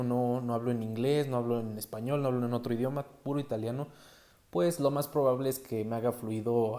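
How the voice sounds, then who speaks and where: A man's voice: fairly low pitch, mellow. A man with a Mexican accent talking casually as a solo podcast host, conversational and often adding little tag questions.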